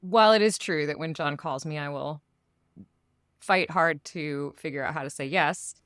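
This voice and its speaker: Actress lady doing a casual interview, lowish voice, dry close sound, measured with lil pauses. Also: fairly bright tone, smooth, dry recording.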